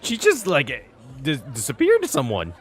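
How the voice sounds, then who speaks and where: Lively male game streamer reacting. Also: fairly high pitch, normal speed. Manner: amused, informal musing. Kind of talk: casual reaction commentary. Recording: faint game music behind.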